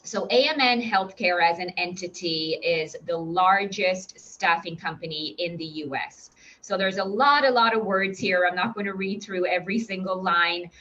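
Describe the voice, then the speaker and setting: A woman's voice: on the low side, moderate pace, few pauses, pushed and bright. Irish lady giving a webinar talk, upbeat, lots of ums, kinda dull muffled sound. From Ireland. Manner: upbeat, encouraging, with frequent fillers and restarts. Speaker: recruitment director presenting to nurses. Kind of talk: webinar presentation.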